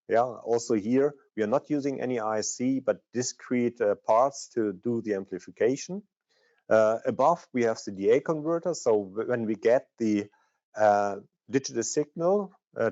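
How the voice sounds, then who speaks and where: Non guy doing product training, dark relaxed voice, bit dull audio, stop and start. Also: fairly low pitch, no echo. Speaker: product manager presenting. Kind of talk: technical product training presentation.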